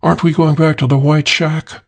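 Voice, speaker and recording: A man doing a soft pleading young woman. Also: fairly high pitch, level tone, normal speed, vocal fry, voice pushed up.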